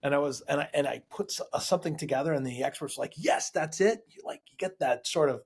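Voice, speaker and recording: Husky-voiced podcast host. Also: male voice, fairly high pitch, fast. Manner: affirming, frequent short agreements. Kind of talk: casual interview talk.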